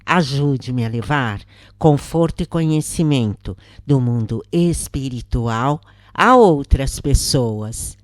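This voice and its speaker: A Brazilian woman reading a scripted first-person spiritual account as a narrator, in a measured, carefully phrased way. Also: very deep voice, expressive, dry recording, studio quality. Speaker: narrator and channel host.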